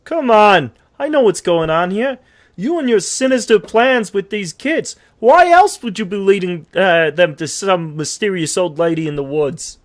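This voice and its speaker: A talking horse in a comic fandub, pitched very high. He is an eager would-be rogue who spins his own tale with theatrical relish and old-fashioned, folksy turns of phrase. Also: expressive, a little slow. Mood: sly, conspiratorial. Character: self-pitying talking horse, eager cheerful rogue wannabe. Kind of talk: comic comic-book fandub reading with storytelling flourishes.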